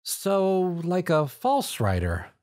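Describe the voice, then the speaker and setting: Man as young female pony, studious, earnest, raised pitch, storybook cartoon character. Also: wildly swooping pitch, slow pace, a little mellow, heavily acted. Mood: puzzled.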